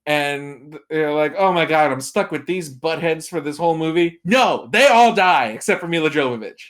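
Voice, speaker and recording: A man with a somewhat high voice chats as a guest film critic on a podcast. He talks casually and tells things like a storyteller, slipping into little imagined reactions to make his point. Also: expressive, moderate pace, a bit bright. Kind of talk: casual chat, giving film opinions.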